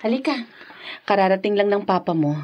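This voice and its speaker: A woman playing a wounded, weary young wife and mother in a Filipino radio drama, speaking in a breathy, hesitant, heavy-hearted way. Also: mid-range voice, lively intonation, brisk, dull audio, close to an everyday voice. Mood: tender, subdued.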